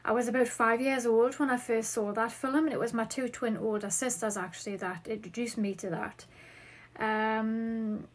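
A woman's voice: on the high side, fairly even intonation, moderate pace. A woman in her thirties with a Geordie accent from northeast England, hosting a solo podcast and chatting through listener questions. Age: 30s. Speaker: podcast host.

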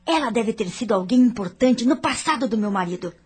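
A woman's voice: a little high, lively intonation, a little fast, darkish tone, slightly rough, faint music behind. A woman with a Brazilian accent playing a suspicious, jealous young wife in a radio soap opera, insistent and dramatic. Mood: jealous, troubled.

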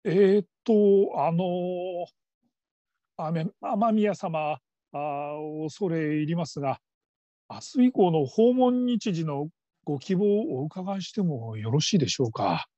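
A man's voice: on the high side, expressive, slow, warm tone, no echo. A man playing a flustered, middle-aged father who works as a call-centre operator, stammering in stiffly formal politeness in a radio drama. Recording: dull lo-fi audio.

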